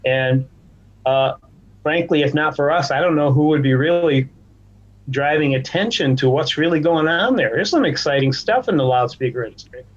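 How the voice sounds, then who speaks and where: A man's voice: fairly high pitch, normal speed, lo-fi sound. A man speaking conversationally as a guest executive in a podcast interview. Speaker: podcast guest, trade association chief executive.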